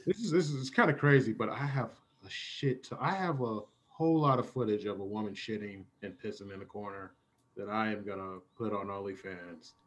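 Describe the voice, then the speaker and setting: A man's voice: on the low side, a little fast, a little hiss or hum, natural voice. A man playing a casual reality show cameraman, hesitant and offhand as he confesses in an improv comedy scene. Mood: sheepish, gleeful.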